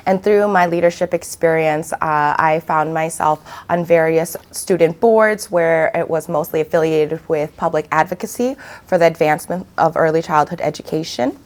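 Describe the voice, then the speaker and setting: A young woman, a children's book author, answering interview questions softly with many pauses and fillers. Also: deep voice. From Vietnam, raised in South Dakota. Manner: soft-spoken, many ums and uhs. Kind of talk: interview answers, then reading a picture book aloud.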